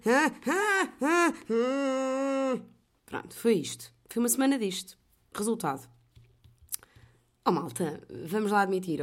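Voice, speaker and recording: A woman with a European Portuguese accent hosting a podcast, telling stories in a chatty, animated way. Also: medium pitch. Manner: chatty, animated storytelling with laughs. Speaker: podcast host; voice-over artist. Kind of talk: casual chat.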